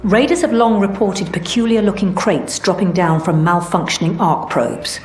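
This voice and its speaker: Composed, low-voiced female mission giver. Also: lively intonation, normal speed, darkish tone. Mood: businesslike. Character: composed research team leader giving missions to raiders. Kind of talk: scripted game quest dialogue. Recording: roomy echo, faint music.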